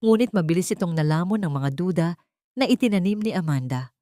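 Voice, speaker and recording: Low-voiced woman narrating drama. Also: expressive, a little fast, mellow, no room sound, studio quality.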